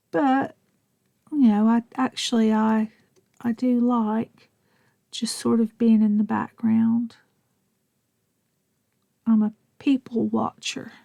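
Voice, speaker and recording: A middle-aged Southern woman chats and rambles slowly while she crafts, her voice gravelly and mellow. She drifts into half-whispers and pokes fun at herself. The sound is close and dry. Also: fairly high pitch, no room sound. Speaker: crafting vlogger. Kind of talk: chatty craft tutorial narration.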